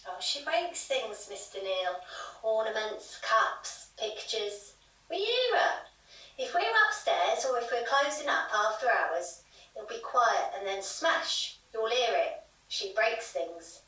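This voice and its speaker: In a very echoey room, a woman plays a fearful landlady in an audio drama. She is emphatic and insistent, her highish voice swinging widely in pitch. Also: very animated, slow pace, pushed and bright, full character voice. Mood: agitated. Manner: emphatic, dramatic, repeats herself. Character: insistent, fearful pub landlady haunted by ghost. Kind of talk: audio drama storytelling in an interview. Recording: very echoey noisy room, distant mic.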